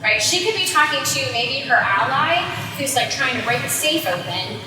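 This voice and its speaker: High-voiced woman presenting in an echoey hall. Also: animated, normal speed. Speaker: voice actor giving a workshop. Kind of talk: live workshop talk, explanatory. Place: conference room at a gaming convention. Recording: very echoey hall, distant mic.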